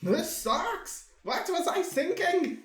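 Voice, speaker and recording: A man voicing a self-doubting, excitable young male manga artist. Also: sits high, measured pace, pitched above the performer's own voice. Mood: frustrated. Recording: echoey room.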